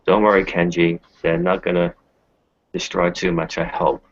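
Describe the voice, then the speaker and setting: Man playing a low, husky, blunt werewolf. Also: flat delivery, moderate pace, lo-fi sound. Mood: wry. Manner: blunt, casual. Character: sceptical, blunt male werewolf healer, swearing and teasing.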